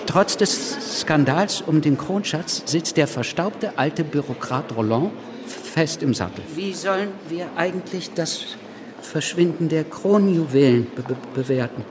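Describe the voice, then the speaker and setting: A man's voice: a little high, animated, measured pace, background noise. A man playing a stammering, sardonic revolutionary gossip in a radio play, with a wry, needling manner. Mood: wry, gossipy. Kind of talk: radio drama dialogue.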